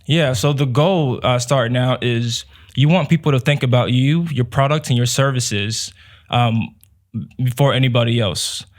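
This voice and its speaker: A young man from Nigeria, answering as a guest in a relaxed way with many fillers. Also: medium pitch, moderate pace. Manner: relaxed, many "um" and "you know". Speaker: guest, business owner. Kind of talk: casual interview answers.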